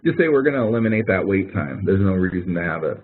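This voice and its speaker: A man with a fairly low voice walks students through their coursework in a relaxed, explanatory way, thinking aloud as he goes. The sound is narrow and phone-like, as if it came through a web call. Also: quick pace, slight background noise. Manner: casual, explanatory, thinks aloud while demonstrating. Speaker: instructor. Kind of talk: webinar walkthrough. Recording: phone-like narrow audio from a webinar.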